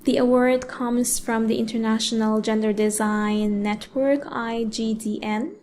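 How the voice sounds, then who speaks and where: A woman from Egypt, a pianist and educator, giving a prepared speech in a measured way with deliberate pauses. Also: on the high side, steady tone, darkish tone, a little hiss or hum. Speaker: award-winning pianist and educator giving a talk.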